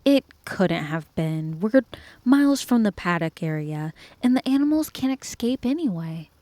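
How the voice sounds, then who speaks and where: A woman's voice: mid-range voice, big pitch swings, normal speed, warm tone, no room sound, lightly acted. An actress playing a wry, blunt, anxious woman park worker in an audio drama. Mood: uneasy.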